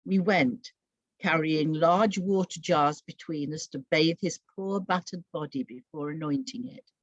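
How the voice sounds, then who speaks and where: Female narrator for scripted Bible drama, unhurried, solemn yet expressive, dry and slightly muffled recording. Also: deep voice, dry recording. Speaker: storyteller.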